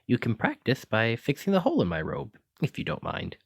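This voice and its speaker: A man acts out a clumsy but kindly wizard giving magic lessons in an ASMR roleplay. He speaks with genteel, old-fashioned flourish and keen encouragement. His mid-pitched voice leaps and swoops dramatically, and the sound is very dry. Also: huge pitch swings, a little fast, breathy edge, no room sound, exaggerated voice. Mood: genteel, instructive. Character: clumsy, kindly, excitable male wizard teacher. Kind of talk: ASMR roleplay addressed to the listener.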